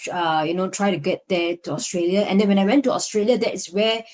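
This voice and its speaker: A woman's voice: medium pitch, fast talker, darkish tone. A young woman from Singapore with a Singaporean English accent, a lecturer reflecting on her past in a casual conversation. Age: young adult. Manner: reflective, frequent "you know" fillers. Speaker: lecturer, guest.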